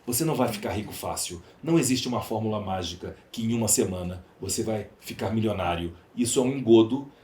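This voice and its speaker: A man's voice: fairly low pitch, lively intonation, fast talker, hardly any pauses, darkish tone. A man with a Brazilian accent speaking as a podcast guest, emphatic and repeating phrases for stress in conversational answers. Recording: roomy echo, clear background noise.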